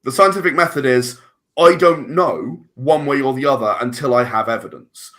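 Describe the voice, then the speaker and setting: Guest: man, forceful bright tone, halting and reflective. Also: medium pitch. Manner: thoughtful, restarts words often. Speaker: guest filmmaker. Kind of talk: casual podcast conversation.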